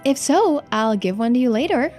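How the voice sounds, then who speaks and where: A woman's voice: fairly high pitch, animated, moderate pace, warm tone, soft background music, natural voice. A woman voicing a brash, nonchalant young island woman in a video game, with a teasing, self-assured manner. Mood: breezy, cool.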